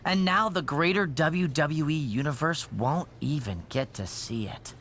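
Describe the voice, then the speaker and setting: Male for a gloating game villain, a wrestling boss: higher, sharp voice, mock-sympathetic. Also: a little slow, slight background noise. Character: smug, taunting, spiteful male wrestling show boss. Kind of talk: video game cutscene acting.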